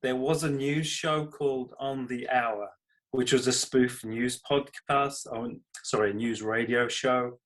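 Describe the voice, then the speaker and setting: A British man with a mid-pitched voice talks in a casual interview. He comes across as modest and self-deprecating, explaining things in a conversational way as the guest. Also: steady tone, unhurried, fairly bright tone, poor audio. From United Kingdom. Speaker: podcast guest, comedy writer and creator.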